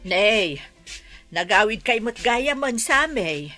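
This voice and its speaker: Brief: expressive daughter, bright lively female voice. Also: fairly high pitch, slow, soft background music. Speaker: daughter in a family drama. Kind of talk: Ilocano radio drama acting.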